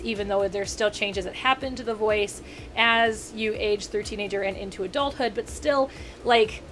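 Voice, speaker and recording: Rambling woman in her thirties, higher voice. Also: a little hiss or hum. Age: 30s. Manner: rambling and animated, with frequent self-corrections and asides. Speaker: video critic. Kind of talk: casual rant to camera.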